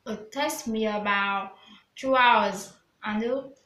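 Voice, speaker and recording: A woman's voice: a little high, takes it slowly, fairly bright tone. Careful woman, echoey room. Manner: plain, careful recited answers. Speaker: student. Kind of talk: scripted English speaking practice.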